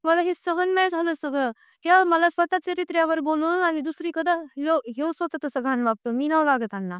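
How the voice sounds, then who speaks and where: A woman's voice: very high voice, fairly quick, no echo, studio quality. A young woman, speaking insistently and pleadingly as she airs a grievance on a recorded phone call. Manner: insistent, aggrieved, pleading, repeats herself. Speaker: caller with a personal grievance. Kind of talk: emotional private phone conversation.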